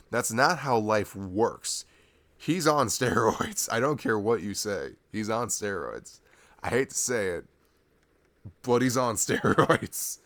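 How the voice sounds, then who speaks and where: Man, animated intonation, unhurried, rambling boyfriend-style ASMR, slangy and joking. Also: fairly low pitch, creaky. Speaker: ASMR boyfriend roleplay performer. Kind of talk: rambling intimate ASMR chat.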